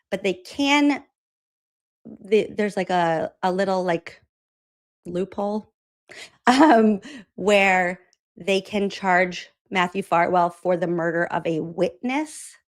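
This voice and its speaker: A woman from Massachusetts hosting a political commentary show, chatty and sarcastic, laughing often. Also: lowish voice. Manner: sarcastic, incredulous. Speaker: host.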